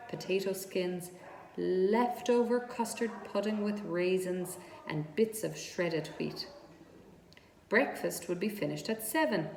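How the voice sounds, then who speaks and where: Woman reading a children's story, echoey room. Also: medium pitch. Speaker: storybook reader. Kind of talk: read-aloud children's story narration. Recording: echoey reverberant room with background noise.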